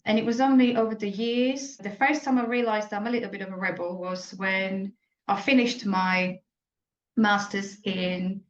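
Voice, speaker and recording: Reflective woman from Slovakia, echoey. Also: medium pitch. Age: 40s. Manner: reflective, halting, frequent self-corrections. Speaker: guest. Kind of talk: personal story in an interview. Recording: echoey muffled room.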